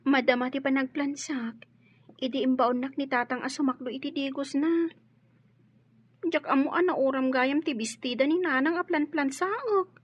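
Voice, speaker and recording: Casting: woman as polite young housemaid, high girlish voice, dutiful and artless, dull dry drama recording. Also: moderate pace, lightly acted. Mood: earnest. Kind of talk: Ilocano audio drama acting.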